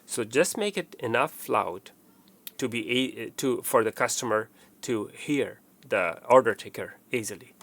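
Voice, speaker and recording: A man's voice: mid-range voice, lively intonation, measured pace, fairly bright tone. A man giving a casual, step-by-step installation walkthrough, explaining plainly and often repeating his instructions. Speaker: technician presenting a how-to tutorial.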